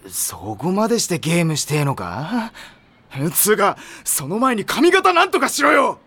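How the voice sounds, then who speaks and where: A man playing a loud, hot-blooded, easily spooked high school volleyball boy in an anime audio drama, with blustering, rough slang. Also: big pitch swings, normal speed, heavily acted. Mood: exasperated shouting.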